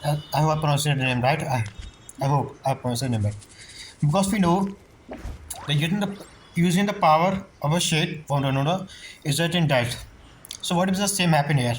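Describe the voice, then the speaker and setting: A woman's voice: low voice, fairly quick, slight background noise, rough recording. A woman giving casual reaction commentary as a gamer, musing aloud and repeating phrases. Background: game music.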